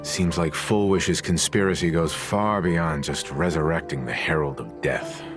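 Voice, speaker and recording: A man's voice: rumbling low, steady tone, unhurried. A man voicing a grave, composed investigator in an anime game, speaking seriously and with measure over music. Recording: music behind.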